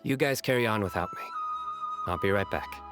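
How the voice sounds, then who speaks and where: A man voicing a stern, stoic young hero in a video game, measured and deadpan with a dry, self-assured wit. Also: on the low side, music in the background, lightly acted. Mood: curt, serious. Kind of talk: animated video game voice acting.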